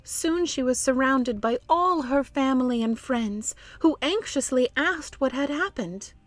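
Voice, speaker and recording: A woman's voice: high voice, expressive, unhurried, no room sound. A woman hosting a children's read-along, narrating expressively and speaking directly to young listeners. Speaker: storytime host and narrator.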